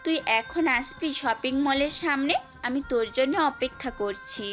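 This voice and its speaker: A woman's voice: high voice, moderate pace, a bit bright. A woman playing a bossy, teasing young bride-to-be in an audio story, with a demanding, playful manner. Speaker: voice actor in an amateur audio story. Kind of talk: acted audio story dialogue. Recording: background music throughout.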